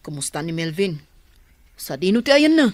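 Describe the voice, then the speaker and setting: An actress with a Filipino accent playing a friendly, expressive woman in a family radio drama. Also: lowish voice, normal speed, no room sound. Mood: friendly, curious.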